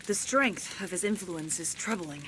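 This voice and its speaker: An actress playing an alien woman in a video game, speaking in formal, solemn, measured declarations. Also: lowish voice, expressive, fairly bright tone, a little hiss or hum, poor audio. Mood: solemn, regretful. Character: alien woman, cold imperious mouthpiece of an ancient plant, later freed and remorseful. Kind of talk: dramatic video game cutscene acting.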